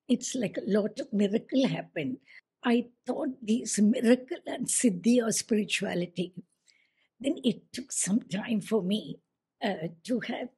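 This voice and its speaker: A woman's voice: medium pitch, darkish tone, husky. A Tamil-speaking woman shares her spiritual experience earnestly, hesitating as she searches for words. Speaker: group participant sharing her experience. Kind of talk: personal testimony.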